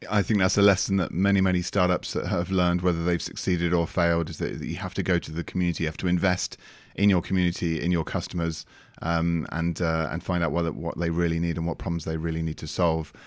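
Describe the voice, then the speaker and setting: Male interview host, very low pitch, dry studio-like sound, conversational, deliberate, repeats words. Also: dry recording.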